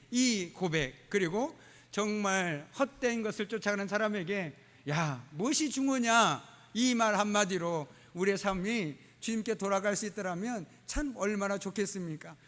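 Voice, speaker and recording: A male pastor preaches to his congregation in a fairly high voice, pausing often with "uh" and slipping in dialect phrases. The sound carries a long church-hall reverb. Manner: warm preaching with dialect phrases and frequent "uh" fillers. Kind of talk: sermon. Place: church sanctuary.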